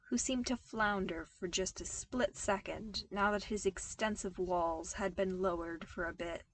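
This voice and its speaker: Woman narrating a story. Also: medium pitch. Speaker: podfic narrator.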